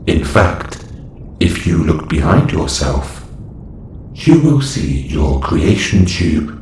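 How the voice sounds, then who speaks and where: A man's voice: rumbling low, very animated, takes it slowly, a little mellow, full character voice. A man voicing a clinical, detached observation robot in an audio roleplay, speaking in a stilted, formal, matter-of-fact way. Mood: detached, instructing. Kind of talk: scripted audio roleplay monologue. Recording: roomy echo with faint noise.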